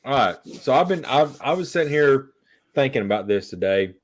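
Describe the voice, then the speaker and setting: A man's voice: medium pitch, expressive, fairly quick. A man co-hosting a podcast in casual chat, with an eager, easygoing manner. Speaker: podcast co-host.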